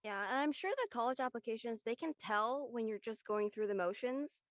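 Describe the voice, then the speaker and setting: A woman's voice: fairly high pitch, animated, brisk, no room sound. A young woman, an actress talking casually in a panel discussion. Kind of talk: casual chat.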